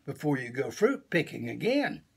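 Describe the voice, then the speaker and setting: An older man voicing a patient, gentle mother bear in a storybook, coaxing and teacherly, with a husky voice. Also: medium pitch, sing-song, moderate pace, exaggerated voice. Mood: encouraging.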